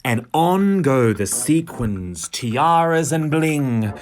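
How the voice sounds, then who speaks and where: A man reading a children's picture book in verse, with expressive, dramatic, rhythmic narration. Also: fairly high pitch, slow pace.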